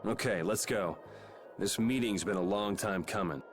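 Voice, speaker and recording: A man's voice: lowish voice, normal speed, natural voice. A man plays a cool young swordsman from a fantasy game. He is blunt, casual and decisive, with music behind his lines. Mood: casual, resolute. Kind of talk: scripted video game voice acting.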